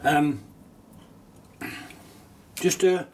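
A middle-aged man with a cold, sounding nasal, casually talking through a watercolour painting demonstration at his desk, relaxed and chatty with small laughs. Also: a little high, very slow, slightly rough, far from the mic. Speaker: watercolour teacher. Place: painting desk at home, indoors.

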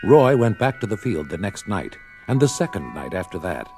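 A man's voice: sits low, sing-song, normal speed. A man delivering scripted dramatic narration as the storyteller of a recorded drama. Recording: story record with music behind.

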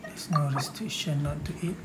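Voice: mid-range voice, moderate pace. A gamer giving casual commentary during gameplay, with game music playing. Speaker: game streamer. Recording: loud game music behind voice. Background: loud game music.

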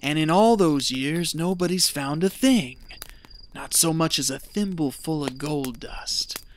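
An actor playing a greedy, callous treasure hunter in an audio drama, talking in a scheming, folksy, persuasive way. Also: male voice, fairly high pitch, measured pace, slight background noise, natural voice.

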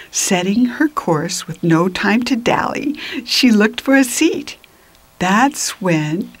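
Unhurried woman reading a picture book aloud. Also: mid-range voice. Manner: expressive, deliberate, drops into whispers. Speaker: children's storybook reader. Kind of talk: picture book read-aloud with asides to children.

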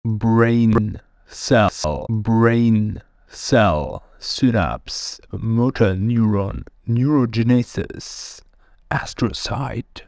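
A man with a German accent reads single words slowly and deliberately into a phone for a vocal sample. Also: on the low side, vocal fry, a little mellow, no room sound, studio quality. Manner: slow, deliberate, one word at a time. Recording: phone recording, denoised, music faint behind.

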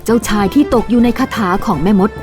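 A woman's voice: a little high, fast, over music. A woman giving scripted narration of a fairy tale for children, as a storyteller.

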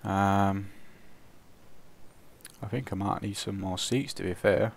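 A man with a croaky, cold-roughened voice, casually commentating his own gameplay and chuckling at his mistakes. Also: low-pitched, steady tone, slow, a little hiss or hum. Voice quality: croaky, gravelly. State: hoarse from a cold. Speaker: let's play host.

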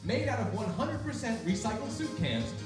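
A man's voice: extremely high pitch, very animated, normal speed. A young man delivering mock old-time radio announcements and ads in a theatrical, salesman-like way. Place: small library room, live performance. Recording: very echoey room, distant mic, music.

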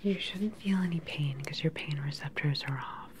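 A young woman playing a calm, clinical evil scientist in an ASMR sci-fi roleplay, speaking softly and soothingly, close to the mic. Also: deep voice, heavy background noise, lightly acted. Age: young adult. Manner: soft, soothing, unhurried personal attention. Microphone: close microphone, leaning in near camera.

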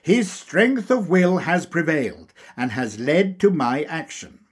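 Slow, husky, expressive male reader. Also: a little high. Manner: deliberate, measured, emphatic phrasing. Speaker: audiobook reader. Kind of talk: scripted lecture reading.

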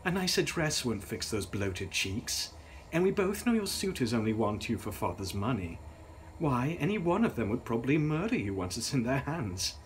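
A man's voice: medium pitch, sing-song, moderate pace, slight background noise, close to an everyday voice, rough recording. A man voicing a mocking, spiteful eighteen-year-old Victorian sister in a gothic storybook reading. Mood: malicious. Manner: mocking, theatrical.

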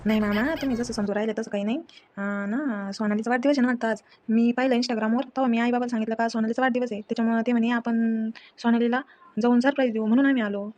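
A woman's voice: a little high, steady tone, quick pace, a little mellow, lightly acted. A woman playing an eager, insistent young man in a family comedy drama, speaking with a Maharashtrian Marathi accent. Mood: reassuring. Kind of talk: acted family comedy dialogue.

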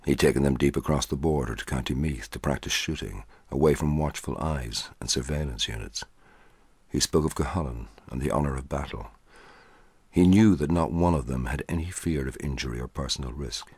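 A man reading a short story aloud in a measured, quiet storytelling voice. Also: slightly rough, no room sound. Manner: measured, quiet storytelling, dropping low for dialogue. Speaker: audiobook narrator. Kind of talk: scripted narration of a short story.

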